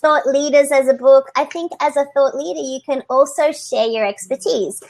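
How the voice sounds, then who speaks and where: A woman hosting a live video chat, chatty and encouraging, with a naturally high, light voice, talking into a computer mic. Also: normal speed, a little mellow. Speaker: host. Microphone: computer mic on video call.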